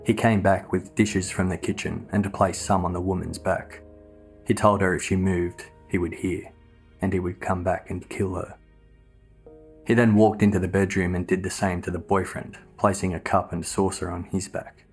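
Low, flat-toned male narrator. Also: faint music behind. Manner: measured, matter-of-fact delivery. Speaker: narrator of a true crime podcast. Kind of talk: scripted narration.

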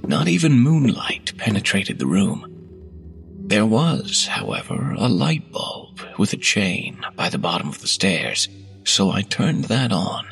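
A man reading a horror story aloud in a measured, hushed delivery that drops to whispers, with faint music behind. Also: lowish voice, big pitch swings, vocal fry. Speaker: narrator. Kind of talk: scripted horror narration.

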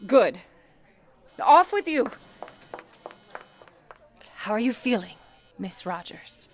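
A woman's voice: a little high, very animated, slight background noise. A woman playing a composed, motherly switchboard supervisor, formal and authoritative, in an old-time radio play. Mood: brisk, commanding. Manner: formal, measured, authoritative, coaxing.

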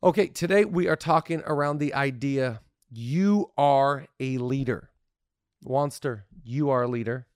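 A man's voice: medium pitch, measured pace, smooth, no echo, clean studio sound. A man hosting a casual podcast on leadership, upbeat and playful, laughing easily with his co-host.

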